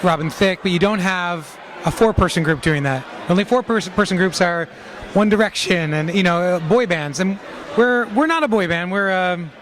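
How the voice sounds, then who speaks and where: A man's voice: high voice, normal speed, a bit bright, slight background noise. A male pop singer answering interview questions casually, rambling and restarting in a friendly way. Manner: rambling, self-correcting, friendly.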